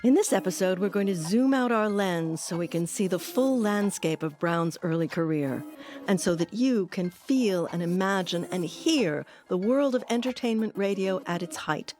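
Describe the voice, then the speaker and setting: A woman hosting and narrating a documentary about radio history, with a measured storytelling delivery. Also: mid-range voice, animated, a little mellow, faint music behind.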